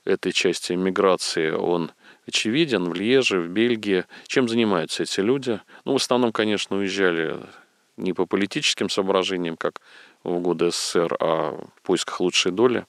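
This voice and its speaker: A man's voice: deep voice, normal speed, gravelly, no echo. A middle-aged man hosting an interview, conversational, asking long questions and telling anecdotes. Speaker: interview host. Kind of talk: radio-style interview.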